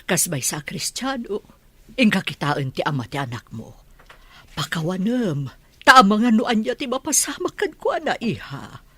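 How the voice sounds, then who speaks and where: A woman's voice: medium pitch, sing-song, a little slow, pushed and bright, slightly rough, no room sound, full character voice. An actress playing an older mother counselling her daughter in an Ilocano radio drama. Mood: soothing.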